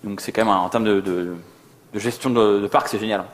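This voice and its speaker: A man's voice: on the low side, fairly even intonation, fairly quick, slight background noise. A man giving an informal technical conference talk in a slangy, conversational way, often acting out little dialogues. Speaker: conference co-speaker, software developer.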